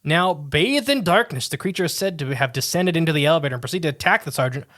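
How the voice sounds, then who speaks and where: A man's voice: on the high side, animated, quick pace, fairly bright tone. A man co-hosting a podcast, reading a strange tale aloud with casual asides, often tripping over words and restarting. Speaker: podcast co-host.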